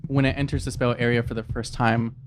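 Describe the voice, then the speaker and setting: A man's voice: medium pitch, level tone, fast talker. A man bantering casually as a player in a tabletop role-playing game, with a joking manner.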